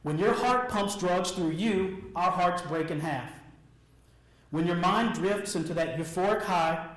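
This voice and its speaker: High-voiced American man giving an earnest speech. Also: a bit bright. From Alabama, United States. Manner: earnest, deliberate, emphatic short phrases with repetition. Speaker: motivational speaker. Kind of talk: rehearsed personal testimony speech to an audience. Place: echoey room, speaking before an audience. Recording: echoey room sound.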